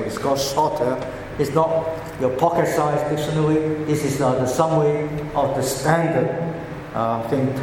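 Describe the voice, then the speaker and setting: A pastor with a Cantonese accent preaching a sermon, slowly explaining words one by one. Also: male voice, a little high, darkish tone, a little hiss or hum. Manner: slow, deliberate, explaining word by word. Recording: echoey hall with faint ambience.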